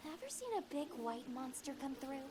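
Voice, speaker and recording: A woman's voice: high voice, big pitch swings, moderate pace, background noise. A woman playing a composed, inquisitive young hunter's companion in a video game, speaking politely and articulately. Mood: curious. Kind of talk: video game character dialogue.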